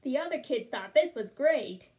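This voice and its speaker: A woman's voice: sits high, expressive, normal speed, a little mellow, a little hiss or hum. A woman reading a children's storybook aloud expressively, with little asides to the listener.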